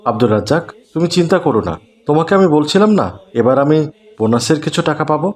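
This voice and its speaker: A man voicing a kindly, generous gentleman in a cartoon. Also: a little high, animated, fast, lightly acted. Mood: kind, reassuring. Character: kindly well-off gentleman, generous patron of the poor. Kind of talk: cartoon voice acting.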